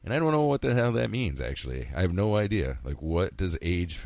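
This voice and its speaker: A man's voice: low voice, very animated, a little fast, vocal fry, darkish tone, no echo. A man in his fifties hosting a solo podcast, rambling casually, talking to himself and swearing now and then. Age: 50s. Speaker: podcast host.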